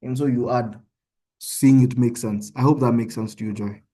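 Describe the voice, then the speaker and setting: Young Kenyan male tutor, Kenyan accent, mellow voice, casual teaching that keeps checking in with listeners. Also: medium pitch, steady tone, moderate pace. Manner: conversational, often checks in with "yeah?". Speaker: medical student tutor. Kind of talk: informal online tutorial.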